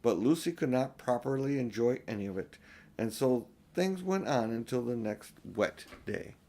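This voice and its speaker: A man's voice: medium pitch, animated, normal speed, dark tone. An older man narrating a children's storybook expressively as an audiobook reader.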